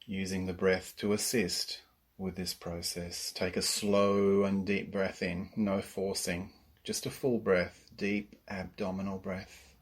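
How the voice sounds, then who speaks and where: A man leading a guided relaxation as a yoga nidra teacher, speaking in a calm, soothing way with long pauses between instructions. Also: low-pitched, husky.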